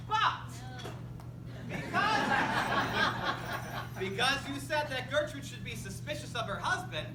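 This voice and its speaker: A woman narrating a comic school stage play from a script to a live audience, with wry, theatrical asides. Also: high voice. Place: indoor live performance, distant microphone. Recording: echoey, noisy room recorded from far off.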